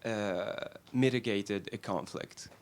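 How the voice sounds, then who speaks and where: A man's voice: fairly low pitch, takes it slowly, slight background noise. A man from Syria asking a panel a question, tentative with many fillers. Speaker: audience member asking a question.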